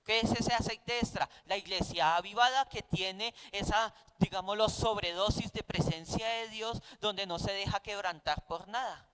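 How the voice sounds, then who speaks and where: A man's voice: sits high, normal speed, hardly any pauses, bright, forceful tone, smooth. A man with a Colombian accent teaching a Bible class in a didactic, conversational way, often posing rhetorical questions.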